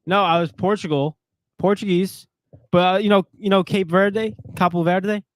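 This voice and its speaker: A man's voice: high voice, fairly even intonation, moderate pace, no room sound. A man co-hosting a casual sports chat, joking and rambling as he tells stories. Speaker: podcast co-host.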